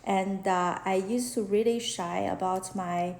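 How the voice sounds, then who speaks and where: Casual live-stream host, thirties, Chinese-accented English, slow and earnest, in an echoey small room. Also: female voice, medium pitch, fairly even intonation, gravelly, a little mellow. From China. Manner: halting, many fillers and restarts, earnest. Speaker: fashion illustrator hosting a live chat. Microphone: phone at arm's length. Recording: roomy echo.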